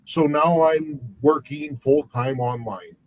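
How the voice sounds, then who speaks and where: A man in his fifties talks casually as a guest on a livestream. His voice sits a bit high for a man, and he tells things plainly. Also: takes it slowly, low-quality recording. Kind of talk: casual self-introduction.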